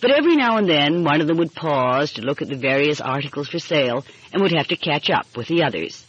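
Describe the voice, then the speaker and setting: A woman's voice: low voice, animated, fast talker, slightly rough, no echo. A woman reading a mystery story aloud as scripted audiobook narration. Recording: muffled, dull lo-fi audio.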